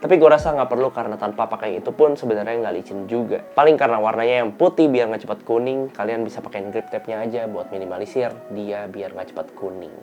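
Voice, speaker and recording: A young man talks through a product review in a relaxed, slangy way. His nose is clearly blocked, so the voice sounds stuffy and a little worn out. Light music plays behind him. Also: fairly low pitch, fast talker, hardly any pauses, highs cut off. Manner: relaxed, chatty, slang-heavy delivery. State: tired and run-down. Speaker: tech reviewer hosting his channel.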